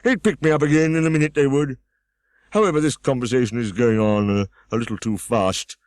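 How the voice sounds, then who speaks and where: A man's voice: mid-range voice, brisk, darkish tone, no echo, voice pushed up, close to an everyday voice. A man voicing a pompous, easily offended egg who sits on a wall and boasts grandly. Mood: grandiose.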